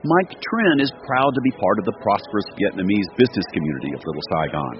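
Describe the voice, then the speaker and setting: A man reporting for radio news, telling the story in a measured, scripted narration. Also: dull audio. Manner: measured storytelling delivery with lively pitch.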